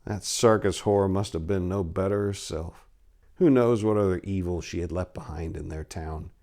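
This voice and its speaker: A man with a fairly low voice performs as a storyteller. His delivery is theatrical and happily hammed up, aimed straight at his listeners. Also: moderate pace, darkish tone. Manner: theatrical, hammy. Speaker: narrator and story editor. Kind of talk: dramatic story narration and informal talk to listeners.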